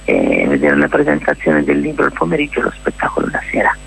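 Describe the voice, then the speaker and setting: Radio guest, woman, festival organiser; heavy creak, rough husky tone, halting explanatory delivery. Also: low-pitched, huge pitch swings, rough recording. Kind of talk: informal radio interview.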